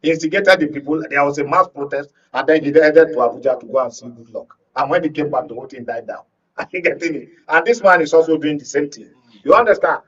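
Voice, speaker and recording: A Nigerian man giving commentary as a panel guest, speaking haltingly and checking that listeners follow. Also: fairly high pitch, a bit bright, husky, recorded from a distance. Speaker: guest media analyst. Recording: dull audio, away from the mic.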